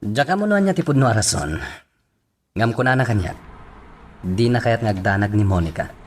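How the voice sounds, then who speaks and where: A man acting a forceful boss conferring with his men in an Ilocano radio drama. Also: lowish voice, big pitch swings, moderate pace, a little hiss or hum. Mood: serious.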